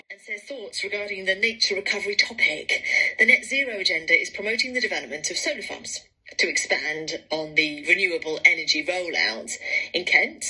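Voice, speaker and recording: A woman's voice: on the low side, sharp tone, husky, rough recording. A woman presenting in an informal, conversational way, musing over comments. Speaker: presenter reading viewer comments.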